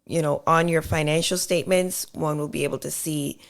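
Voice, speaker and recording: Low, gravelly woman explaining accounting. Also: steady tone, brisk. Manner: patient, explains with step-by-step examples, many fillers. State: slightly hoarse. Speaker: accountant guest.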